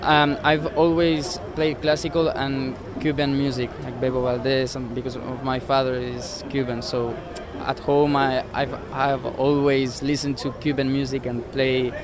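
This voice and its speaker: A man's voice: medium pitch, flat delivery, measured pace, hardly any pauses. A man in his twenties from Spain, giving hesitant interview answers in simple English outdoors. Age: 20s. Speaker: interviewee, jazz pianist. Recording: crowd noise behind.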